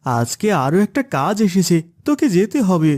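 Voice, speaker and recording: A man's voice: sits high, huge pitch swings, moderate pace, darkish tone, full character voice. A man voicing a stern, lecturing father figure in a children's cartoon. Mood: gruff, irritated. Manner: lecturing, admonishing. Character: stern preachy father, later gruff scolding gambler uncle. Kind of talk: Islamic children's cartoon dubbing.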